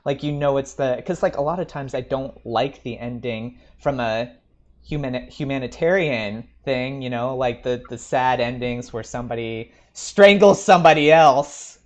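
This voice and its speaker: A man co-hosting a podcast in casual conversation, animated and joking in an informal way. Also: mid-range voice, normal speed. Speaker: podcast co-host.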